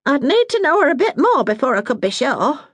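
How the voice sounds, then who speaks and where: A woman voicing a middle-aged mother, bossy but warm-hearted, in a brisk, scolding dialect. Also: high-pitched, sing-song, no room sound, pitched above the performer's own voice. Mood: fond.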